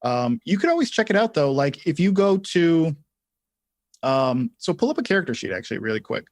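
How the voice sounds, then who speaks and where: A man hosting a casual live software tutorial, thinking aloud in a halting way as he explains things step by step. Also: fairly high pitch, very animated, no echo. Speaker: livestream host and software instructor.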